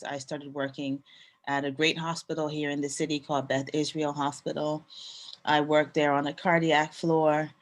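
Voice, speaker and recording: Woman, low voice, grew up in canada, relaxed storytelling, nurse guest. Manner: relaxed, thoughtful, with fillers and pauses. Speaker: guest, nurse and business owner. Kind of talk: casual interview storytelling.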